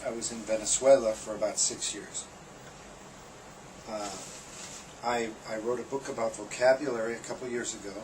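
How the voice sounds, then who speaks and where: Lecturer guy saying hi, friendly, a few restarts, noisy background. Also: medium pitch. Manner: friendly, with small restarts and uh fillers. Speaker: senior lecturer. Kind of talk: self-introduction for an online course. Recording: clear background noise.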